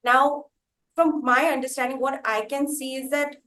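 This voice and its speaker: High-voiced woman interviewing, effusive and wordy. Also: steady tone, normal speed, sharp tone, a little hiss or hum. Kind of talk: conversational interview.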